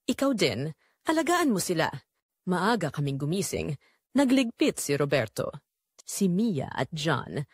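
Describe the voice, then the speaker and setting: A Filipino woman narrates a dramatic first-person story. Her delivery is emotive and confessional, with lively swings in pitch, recorded very dry and close. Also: no room sound.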